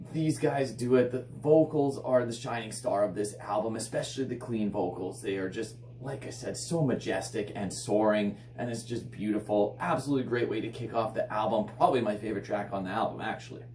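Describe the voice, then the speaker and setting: A man with a hoarse, raspy voice from illness, enthusiastically reviewing a metal album in casual, rambling speech full of "like". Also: medium pitch, dark tone. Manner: enthusiastic, filler-heavy rambling with frequent "like". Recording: echoey room, slightly distant mic, faint hum.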